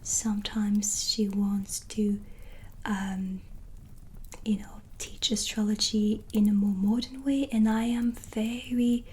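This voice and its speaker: Slow, whispery gentle witch played by a woman. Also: medium pitch, level tone. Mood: calm, musing. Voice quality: soft, breathy, near-whisper. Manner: slow, hesitant, soothing. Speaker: ASMR roleplay performer. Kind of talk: soft-spoken ASMR roleplay. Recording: soft music behind. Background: relaxing music and ambience.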